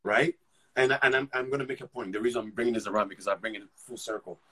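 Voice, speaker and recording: Husky-voiced man telling his story haltingly. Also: mid-range voice, pushed and bright, rough recording. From Ghana. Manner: halting, restarts and repeats words while thinking aloud. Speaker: actor, interview guest. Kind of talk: casual conversational storytelling.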